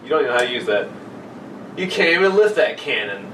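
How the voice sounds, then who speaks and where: A man's voice: mid-range voice, very animated, fast talker. A man chatting casually and profanely with friends as a podcast host. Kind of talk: casual chat among friends. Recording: roomy, distant mic with loud noise.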